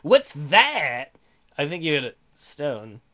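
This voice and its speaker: Guy with a high bright voice doing a let's play, reading the game text then goofing on it, cheeky and irreverent. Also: wildly swooping pitch, takes it slowly. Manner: irreverent, playful, mocking. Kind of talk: gaming commentary, reading visual novel aloud with crude joking asides.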